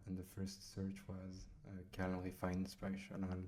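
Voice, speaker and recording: A man with a French accent, casually talking through a software tutorial at his computer, pausing often with fillers as he types. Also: deep voice, completely flat delivery. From France. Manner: hesitant, many fillers while typing. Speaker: software demo host. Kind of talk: casual screen-recorded tutorial.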